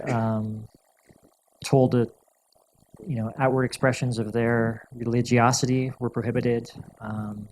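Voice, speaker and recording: A male guest speaker answering audience questions in a measured, thoughtful way, often restarting and repeating words. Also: medium pitch, slight background noise, poor audio. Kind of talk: lecture Q&A answers.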